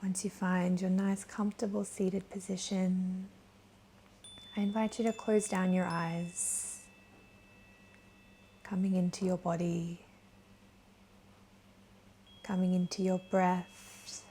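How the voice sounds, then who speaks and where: A woman leading a guided meditation in a gentle, soothing, soft voice that is breathy at times. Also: lowish voice, little pitch movement, slight background noise, poor audio. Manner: gentle, soothing, unhurried with long pauses. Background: soft chimes.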